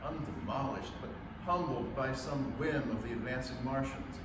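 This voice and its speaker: A man acts out a haunted astronomy professor, the lone survivor of an invasion, telling his tale in a solemn, deliberate radio-play monologue. The voice is of middling pitch and sits far off in a very echoey, noisy room. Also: close to an everyday voice. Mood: solemn, wary. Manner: solemn, deliberate, halting narration. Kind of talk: dramatic staged reading of 1938 radio monologue. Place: public library event room, live staged reading.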